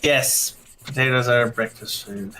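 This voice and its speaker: A person in casual livestream banter, teasing and quick to interject. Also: medium pitch, expressive, creaky, pushed and bright, a little hiss or hum, rough recording. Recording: music behind the voice. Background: music.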